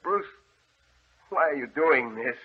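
An actor playing a frantic, deranged grieving husband, desperate and pleading in melodramatic 1940s radio horror style. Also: male voice, medium pitch, animated, takes it slowly, husky. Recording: noisy, muffled old radio recording.

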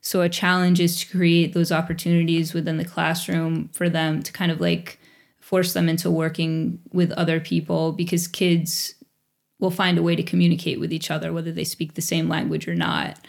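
A woman's voice: sits low, level tone, moderate pace, gravelly. A woman in her forties, a teacher who speaks English as her first language, answering interview questions in a reflective way with small restarts. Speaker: teacher at a bilingual school.

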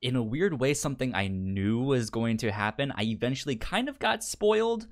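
A man's voice: mid-range voice, big pitch swings, normal speed. A man co-hosting a podcast in casual discussion, stuttering often as he repeats sounds and syllables. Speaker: podcast co-host.

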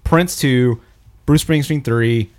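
A man's voice: mid-range voice, slow, a little mellow. An American man giving his opinions in casual chat as a podcast co-host.

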